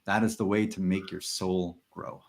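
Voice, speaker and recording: A man's voice: deep voice, fairly even intonation, normal speed, vocal fry. A man hosting a podcast, speaking earnestly and enthusiastically about ideas. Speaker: podcast host. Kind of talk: casual podcast chat.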